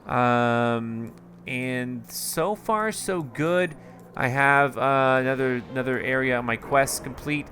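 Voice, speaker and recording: A man's voice: medium pitch, slow, pushed and bright, a little hiss or hum. A man with a tired, strained voice casually commenting on gameplay, thinking aloud with lots of fillers. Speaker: gaming video host.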